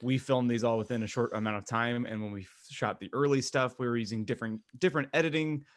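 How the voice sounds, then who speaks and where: A man's voice: mid-range voice, quick pace. A man co-hosting a podcast, chatting casually and telling stories in a jokey way with laughter in his talk. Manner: jokey, laughs while talking. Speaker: podcast co-host. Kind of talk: casual group chat, retelling exchanges.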